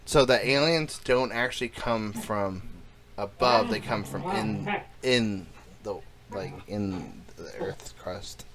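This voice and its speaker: A man's voice: lowish voice, slow, a bit bright, poor audio. A young man co-hosting a casual podcast chat, rambling with lots of fillers and crude jokes. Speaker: podcast co-host.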